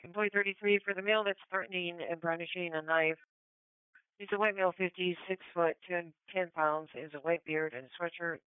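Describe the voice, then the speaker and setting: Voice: medium pitch, steady tone, a little fast, clear, resonant tone, dry recording. An American police dispatcher relaying procedural traffic over a two-way radio in a clipped, businesslike way. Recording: thin phone-like police scanner radio.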